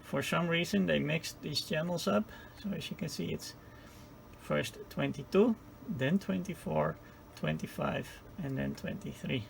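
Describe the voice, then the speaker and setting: A man giving an informal hands-on technical tutorial, speaking haltingly as he searches for words. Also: fairly high pitch, vocal fry, a little hiss or hum, poor audio. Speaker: presenter of a radio repair tutorial.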